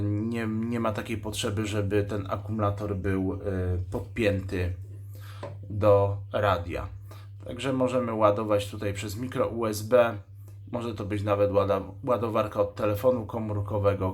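A man hosting an unscripted product demonstration, explaining a device's specs in a matter-of-fact, technical way and pausing mid-sentence while he handles it. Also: on the low side. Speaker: radio shop host reviewing a walkie-talkie.

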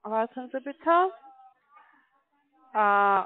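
A woman speaking as a non-native call centre agent on the phone, halting and insistent, in broken sentences. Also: a little high, lively intonation, no room sound. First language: not German. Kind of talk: unscripted phone conversation. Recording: muffled narrow phone line.

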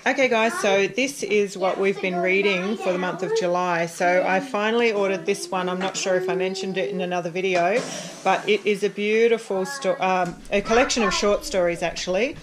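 A woman's voice: medium pitch, steady tone, normal speed. A woman in her thirties with an Australian accent, chatting casually in a home vlog about books. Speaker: homeschooling parent and vlogger. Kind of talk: casual vlog book round-up. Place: room at home. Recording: children's noisy chatter in background. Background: young children playing noisily.

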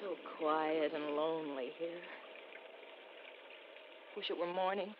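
A woman's voice: low voice, steady tone, slow pace. An actress playing a frightened, pleading woman in a melodramatic old-time radio suspense play. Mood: uneasy, low. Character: frightened, pleading young wife or girlfriend. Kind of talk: 1940s radio suspense acting. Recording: muffled noisy old-time radio recording.

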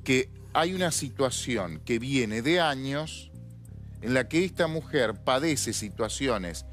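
A man's voice: mid-range voice, expressive, takes it slowly, a bit bright, soft background music. A man in his forties with an Argentine accent, co-hosting a studio talk show and explaining in an earnest tone. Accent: Argentine Spanish. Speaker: TV show co-host. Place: TV studio set.

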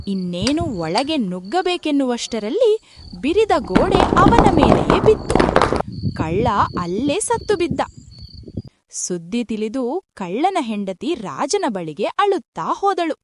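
A woman's voice: high voice, very animated, slow, background noise. A woman narrating a moral folk tale in a scripted storytelling style.

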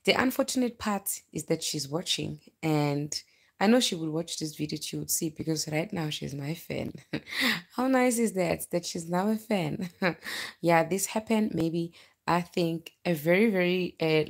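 Woman, 30s, South African accent, slightly low voice, casual animated vlogger talking to viewers. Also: measured pace, vocal fry, husky. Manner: chatty, animated, breaking off to greet viewers. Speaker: livestream vlogger, storyteller. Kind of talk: casual livestream chat, personal storytelling. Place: bedroom, indoors, lying in bed. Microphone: phone at arm's length.

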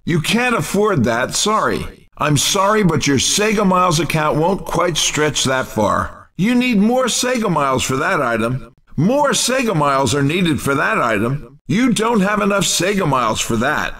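A man voicing an upbeat, excitable racing video game announcer with a punchy, showy, cheerful delivery. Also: a little high, a little slow, far from the mic. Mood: apologetic. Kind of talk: video game announcing, race commentary and shop greetings.

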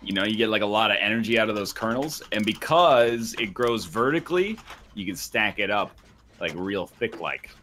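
A man's voice: lowish voice, expressive, normal speed, pushed and bright, slight background noise. A man from Canada trading casual banter while playing a game with friends.

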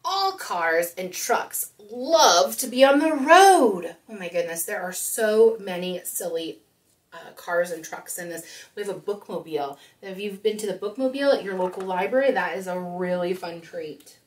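Animated woman hosting children's storytime, slightly distant. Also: medium pitch, normal speed. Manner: expressive, addressing young children directly. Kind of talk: children's storytime talk and picture-book read-aloud. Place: small room indoors.

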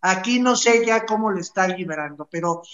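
A woman's voice: medium pitch, moderate pace, a bit bright. A middle-aged woman with a Mexican accent, giving casual entertainment news commentary as a co-host. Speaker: show co-host. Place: indoor room. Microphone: video call. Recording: echoey room, dull video-call sound.

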